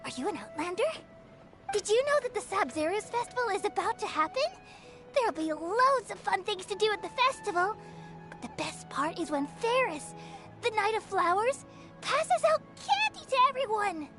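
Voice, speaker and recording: A small, curious child in a fantasy game, extremely high and animated. The childlike delivery is wide-eyed and excitable, with music behind. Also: female voice, moderate pace. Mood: excited. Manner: wide-eyed, blurting questions. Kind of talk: video game voice acting.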